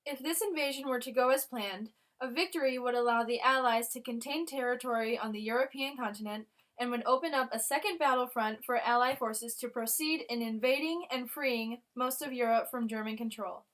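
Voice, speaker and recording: A woman's voice: a little high, fairly quick, few pauses, a bit bright. A woman reading a scripted history newsreel narration.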